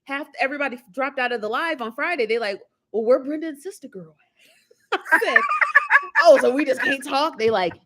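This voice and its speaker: A woman's voice: high-pitched, lively intonation, a little fast, very noisy. A woman hosting a live celebrity gossip show, chatty and teasing, often laughing as she talks. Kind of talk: casual chat.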